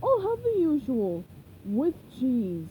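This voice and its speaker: A woman's voice: high voice, huge pitch swings, slow pace, phone-quality sound, a little hiss or hum, heavily acted. A woman voicing a dim, cheerful male starfish in a storybook reading. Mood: cheery.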